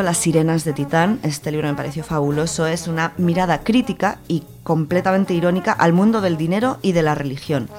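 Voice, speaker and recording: A woman with an accent from Spain speaks in a low register. She presents books in an informal, enthusiastic way, moving between prepared notes and conversational comment. Light music plays behind her voice. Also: darkish tone. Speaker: radio show book reviewer.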